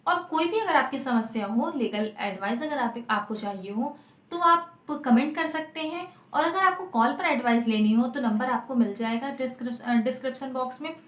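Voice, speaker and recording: A woman's voice: sits high, moderate pace. A woman explaining law informally as an advocate, emphatic, often repeating and rephrasing her key points. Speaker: lawyer presenting legal advice videos. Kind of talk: informal explanatory legal talk to camera. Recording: echoey untreated room.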